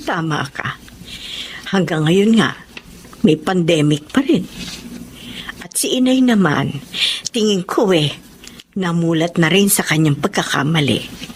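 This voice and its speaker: A contented middle-aged Filipino mother and wife in a radio drama. Her voice sits a little low, with faint background noise. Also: lively intonation, slow pace, long pauses. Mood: happy, reflective.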